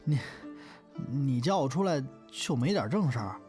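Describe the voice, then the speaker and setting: A man's voice: medium pitch, lively intonation, moderate pace, darkish tone, natural voice. A man playing a modest young comic performer in an audio drama, hesitant and prone to stammering when flustered. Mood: awkward, evasive. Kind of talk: Chinese audio drama dialogue. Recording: music behind the voice.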